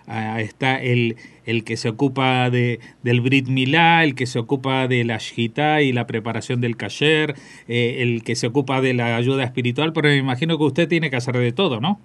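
A man's voice: medium pitch, steady tone, moderate pace, a bit bright. Radio host man asking questions. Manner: conversational, with some hesitations. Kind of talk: radio interview.

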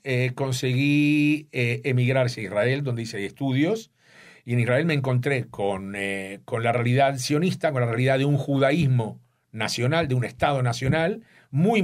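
An older man from Uruguay, an author speaking thoughtfully in an interview about his book and often pausing to search for words. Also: mid-range voice. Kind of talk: reflective interview talk.

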